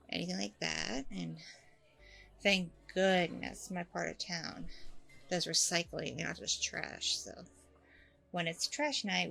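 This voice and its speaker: A woman playing a chatty, candid, self-deprecating single mom on a blind date in a casual audio roleplay, rambling informally with a creaky voice. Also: on the low side, fairly bright tone, exaggerated voice. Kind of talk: ASMR audio roleplay, casual conversational acting.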